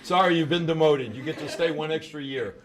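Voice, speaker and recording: A man's voice: a little high, brisk, very noisy. Jovial older male talk-show host. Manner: jovial, joking interviewer. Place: small TV studio.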